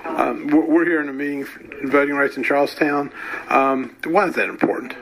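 A man's voice: fairly high pitch, steady tone, normal speed, fairly bright tone, rough recording. A man working as a radio reporter, asking short questions in a field interview.

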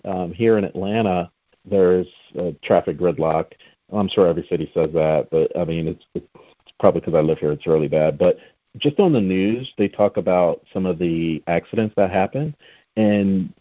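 Deep-voiced male host, dry lo-fi sound. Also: no room sound. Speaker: interview host. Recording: dry, slightly dull lo-fi audio.

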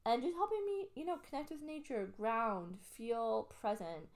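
A woman's voice: a little high, normal speed. A young adult woman from Canada, chatting casually and upbeat as a podcast co-host. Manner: chatty, upbeat, lots of fillers. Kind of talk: casual chat.

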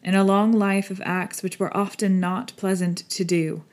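A woman's voice: lowish voice, steady tone, a little slow, a little mellow. A grave old professor, played by a woman narrator, stern but compassionate. He speaks formally and earnestly, with the solemn manner of an audiobook drama. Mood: pitying. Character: grave, pitying elderly male professor, stern yet kind. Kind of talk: audiobook character acting.

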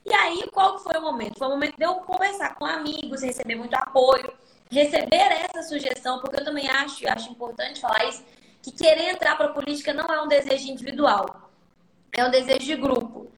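A young politician with a Mineiro accent speaks with conviction, her voice high and bright. She sounds earnest and reflective. Her words echo around the room of a live call. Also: a little fast. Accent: Mineiro Brazilian Portuguese. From Minas Gerais, Brazil. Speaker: guest, politician.

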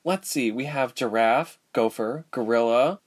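A man's voice: mid-range voice, measured pace, husky. A man voicing a questioning, slyly playful young woman captive in an audiobook story.

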